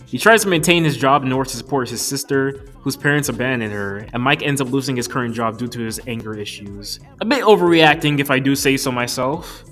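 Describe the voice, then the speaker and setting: A man's voice: medium pitch, lively intonation, a little fast, fairly bright tone. Male reviewer, casual and opinionated, slangy conversational commentary, music underneath. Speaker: video reviewer. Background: background music.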